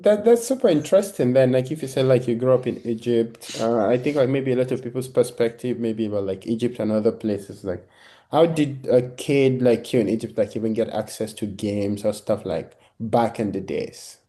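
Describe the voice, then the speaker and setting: A man's voice: medium pitch, moderate pace, warm tone. A man hosting a podcast in a casual, conversational interview style. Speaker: podcast host.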